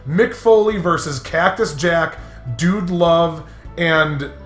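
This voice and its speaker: A man with a fairly high voice rambles casually about video games, leaning on repetition and swearing as he gets exasperated. The sound is roomy and echoing, with some music playing behind him. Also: slow. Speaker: host of a gaming commentary video. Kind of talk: casual banter and rambling gaming stories.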